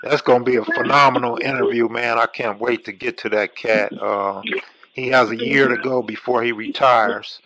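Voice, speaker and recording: A man with a mid-pitched voice hosts a casual interview over the phone. He speaks informally, with slangy, familiar forms of address. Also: steady tone, moderate pace, noisy background. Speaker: interviewer and video host. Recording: phone call audio.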